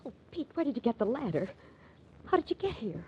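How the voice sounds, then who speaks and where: A woman's voice: a little high, sing-song, a little fast. An actress playing a worried, loyal young woman, breathless and imploring, in an old-time radio drama. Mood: startled, worried. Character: anxious, pleading, loyal young sweetheart. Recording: muffled, very noisy old radio recording.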